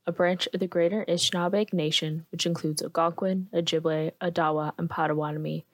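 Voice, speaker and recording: A woman hosts a podcast interview. She reads a prepared introduction in a measured, formal way. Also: fairly low pitch, steady tone, dry recording. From Canada.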